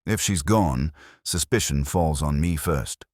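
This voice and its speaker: A man's voice: rumbling low, unhurried, a little mellow, no room sound, studio quality. A man voicing an ambitious, defensive actress who speaks frankly and emphatically in a detective audiobook.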